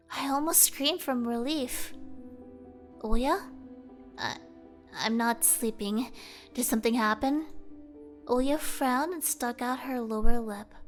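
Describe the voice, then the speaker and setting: A high-pitched woman narrates a horror tale in a theatrical, suspenseful way. She takes her time over the lines, with music playing behind her. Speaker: let's player reading a visual novel aloud. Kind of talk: dramatic scripted narration of a horror story.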